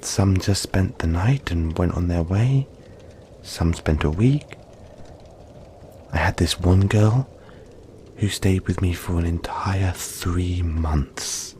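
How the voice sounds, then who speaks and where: A man voicing a gentle, friendly young yeti in an intimate audio roleplay, speaking softly and reassuringly, with halting, breathy phrasing. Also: deep voice, a little hiss or hum. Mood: fond, relaxed. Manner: soft-spoken, reassuring, halting, often breathy near-whisper.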